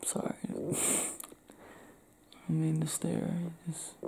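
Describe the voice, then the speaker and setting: A young man from Cleveland doing an intimate ASMR roleplay, talking softly and often whispering, recorded close in a small indoor room. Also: a little high, completely flat delivery, drawn-out words, gravelly, slightly rough. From Cleveland, Ohio.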